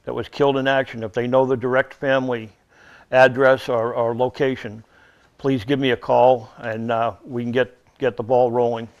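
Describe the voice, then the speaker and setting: An older man talks in an earnest, measured way, with an unusually flat, even pitch. His voice comes through smooth and resonant, though the recording is dull and lo-fi. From Massachusetts. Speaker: veterans organization representative. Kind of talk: interview guest recounting memories.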